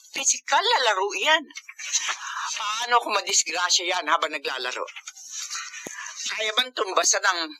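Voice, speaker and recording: Fretful, strict Filipino mother, bright forceful voice. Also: lowish voice, full character voice. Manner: scolding, emphatic. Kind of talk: Filipino radio drama acting.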